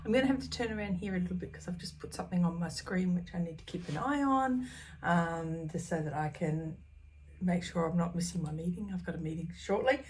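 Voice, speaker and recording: A woman in her fifties chatting casually to camera about her stitching, scattered and full of ums, her voice slightly croaky. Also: lowish voice, brisk, darkish tone, slight background noise, rough recording. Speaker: cross stitch vlogger. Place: small room at home.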